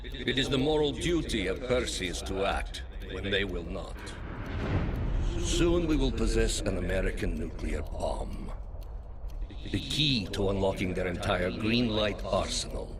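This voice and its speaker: An actor playing a fanatical Soviet spy leader giving a solemn, deliberate speech in a video game. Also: male voice, sits low, expressive, a crowd in the background. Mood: grave, resolute. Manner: grave, deliberate oratory.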